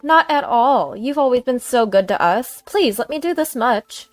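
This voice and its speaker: A woman narrator plays an outwardly sweet but bossy daughter-in-law in a dramatic audio story. The voice is pitched up and insistent, with friendliness that sounds put on. It is closely recorded and dry, over music. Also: expressive, moderate pace, no echo, close to an everyday voice. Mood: sweetly polite.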